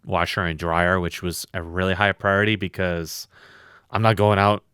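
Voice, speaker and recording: Man, loud voice, tired, blunt and rambling, lowish pitch, dry close recording. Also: level tone, moderate pace, smooth, dry recording. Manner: blunt, swears often, rambling. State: very tired from lack of sleep. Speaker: podcast co-host. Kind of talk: casual podcast chat.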